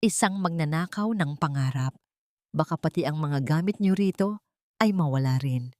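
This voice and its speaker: A woman narrator acts out a smug female music teacher in an audiobook melodrama. She is polite on the surface and taunting underneath, insinuating with every phrase in a lowish, dry-sounding voice. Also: animated, moderate pace, a little mellow, no room sound. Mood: smug, accusing.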